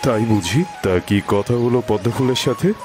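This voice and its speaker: A man playing a doting, tender-hearted village father in a cartoon story, affectionate and gently expressive. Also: mid-range voice, moderate pace, over music, close to an everyday voice. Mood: affectionate, teasing.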